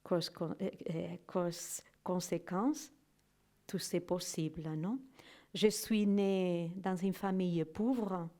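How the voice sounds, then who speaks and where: A woman giving a personal testimony in halting, non-native French, often searching for words and checking in with "non?". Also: fairly low pitch, dark tone. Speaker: guest speaker giving a testimony. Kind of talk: slow reflective personal testimony.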